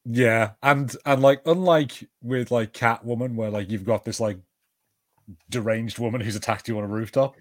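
Male podcast co-host, medium pitch, informal conversational movie commentary. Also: fairly even intonation, normal speed. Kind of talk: casual watch-party film chat.

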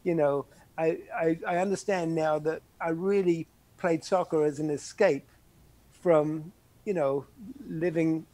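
An older man, a former soccer player recalling his college years in a halting, reflective interview. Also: a little high, steady tone, a little mellow, dry recording. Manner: halting, many restarts and "you know".

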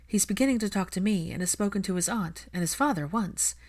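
A woman's voice: mid-range voice, lively intonation, brisk, darkish tone. A woman reading the part of a composed, practical, kind witch in a reassuring, polite way. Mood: appreciative.

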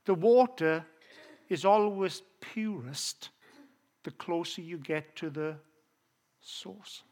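A Welsh man preaching a personal testimony, with a voice pitched noticeably high for a man. He tells his story earnestly and slows down on the phrases that matter most. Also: darkish tone. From South Wales. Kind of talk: testimony sermon.

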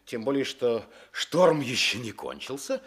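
An actor playing a fussy, jovial sanatorium literary club member in a radio drama, husky and florid in speech. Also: male voice, mid-range voice, sing-song, measured pace, a bit bright, full character voice. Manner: florid, theatrical. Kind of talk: Russian radio drama acting.

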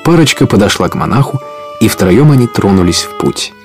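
A man's voice: on the low side, animated, brisk, a little mellow, faint music behind. A man narrating a story for a Russian audio play in a scripted storytelling style.

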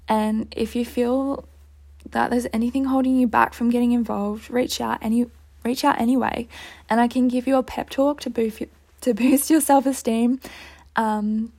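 A woman's voice: fairly high pitch, creaky, a little mellow. A young woman hosting a solo podcast, earnest and upbeat, her throat a little dry. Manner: earnest, upbeat, with light laughs. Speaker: podcast host.

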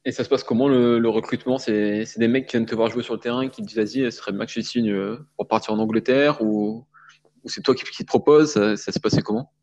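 A man interviewing a guest, asking quick, casual follow-up questions. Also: medium pitch, fairly even intonation, darkish tone, muffled recording.

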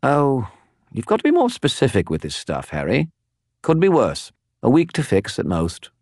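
A man's voice: medium pitch, huge pitch swings, moderate pace, a little mellow, no echo, studio quality, pitched above the performer's own voice. A man voicing a chatty, breezy male spirit living in a skull. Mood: glib.

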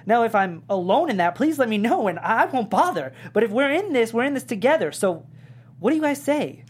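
An actor reading an earnest young male gamer and high-school athlete in a script table read, conversational and husky. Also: big pitch swings, brisk.

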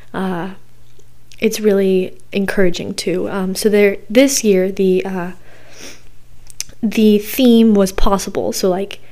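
Hoarse teen girl rambling on her podcast, lots of ums. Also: medium pitch, darkish tone. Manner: rambling, chatty, many fillers. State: hoarse, recovering from losing her voice. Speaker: podcast host. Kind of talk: casual solo podcast chat.

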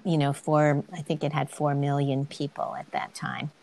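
A woman interviewing a student, speaking calmly in a conversational tone and sharing memories from her own youth. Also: sits low, moderate pace, slight background noise. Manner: calm, conversational, telling a personal story. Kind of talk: casual conversation.

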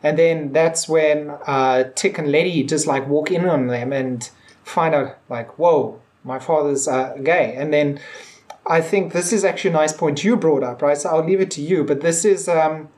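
A woman's voice: deep voice, normal speed, darkish tone. A woman co-hosting a podcast, talking casually and feeling her way through her thoughts in hesitant, meandering phrases. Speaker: podcast co-host. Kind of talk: casual discussion of a TV episode.

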